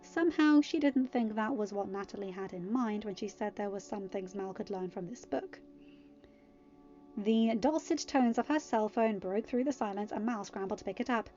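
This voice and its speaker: A woman reading a story aloud as an expressive amateur podfic narrator. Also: medium pitch, moderate pace, lo-fi sound. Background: music.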